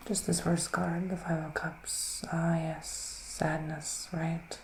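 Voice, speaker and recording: Soft, creaky-voiced man whispering as a witch. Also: fairly high pitch, level tone, echoey room, a little hiss or hum, low-quality recording. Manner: soft-spoken, soothing, unhurried, with pauses. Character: gentle, reassuring male witch giving psychic readings. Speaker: ASMR performer playing a psychic reader. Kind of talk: whispered ASMR roleplay.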